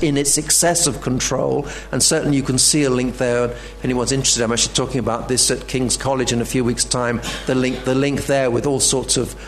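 Hesitant male lecturer with faint hum. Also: medium pitch. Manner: halting, many fillers and restarts. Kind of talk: academic lecture.

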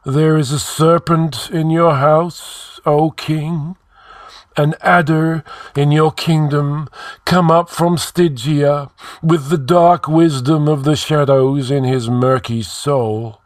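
A man's voice: a little high, steady tone, slow, no echo, pitched above the performer's own voice. A man voicing an ancient ghostly sage in a fantasy audiobook, solemn, commanding and oratorical in an archaic way. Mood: grave, portentous.

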